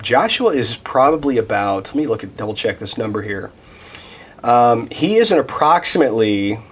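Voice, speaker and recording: A man in his thirties giving a casual devotional Bible teaching, thinking aloud with many restarts and fillers. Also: medium pitch. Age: 30s.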